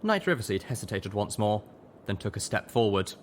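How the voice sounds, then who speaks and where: A man's voice: on the low side, sing-song, a little fast, slight background noise. A man reading scripted first-person story narration as an audiobook narrator. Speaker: audiobook reader, show narrator.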